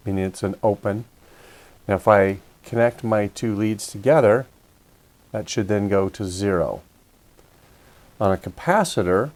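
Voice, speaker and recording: Male DIY host, lower-pitched voice, methodical and casual, talking viewers through a hands-on fix. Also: darkish tone. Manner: methodical, step-by-step explaining. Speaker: repair video host. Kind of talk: casual hands-on repair walkthrough.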